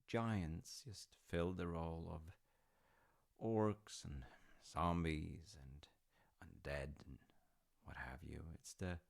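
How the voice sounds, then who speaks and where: Easygoing storyteller dad male voice actor, audio roleplay: unhurried, creaky, wry and musing. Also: low-pitched, fairly even intonation, full character voice. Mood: wryly amused. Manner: wry, understated, musing with dry humour. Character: easygoing, artsy dad telling Norse myths to his grown child. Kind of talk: casual, intimate storytelling roleplay.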